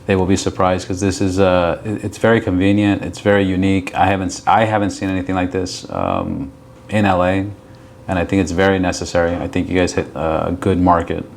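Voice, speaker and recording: A man with a very low-pitched voice hosts a podcast in a casual, chatty style. Some background noise is audible around his voice. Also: brisk, vocal fry. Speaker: podcast host. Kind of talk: casual chat.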